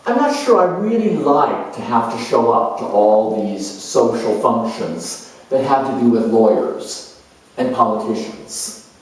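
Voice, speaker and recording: A man playing a proud, charming, opinionated politician in a dramatized monologue, musing in a self-assured way with halting, emphatic delivery. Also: mid-range voice, expressive, natural voice. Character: proud, charming, opinionated male career politician turned world judge. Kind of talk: dramatized first-person character monologue. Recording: roomy echo, distant microphone.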